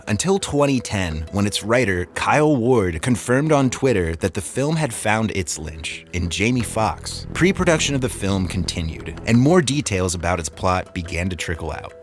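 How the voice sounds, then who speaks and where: Animated low-voiced man narrating over music. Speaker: host of a video essay series. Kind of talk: scripted narration.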